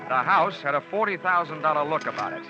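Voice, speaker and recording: An actor playing a cynical, quippy insurance investigator in a 1940s radio detective drama. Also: male voice, a little high, big pitch swings, fast. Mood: dry narration. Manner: sardonic, quippy. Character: wisecracking, cynical freelance male insurance investigator. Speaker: radio drama lead and narrator. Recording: muffled old radio broadcast, music behind.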